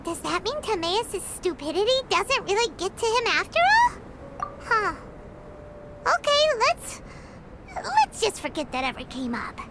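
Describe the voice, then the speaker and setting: A woman voicing a small, excitable, childlike fairy companion with a squeaky, cheeky, exclamatory delivery in an anime fantasy game. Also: unhurried, heavy background noise, heavily acted. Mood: sheepish, chatty. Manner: refers to self in third person, exclamatory, cheeky. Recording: loud music behind the voice. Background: game music.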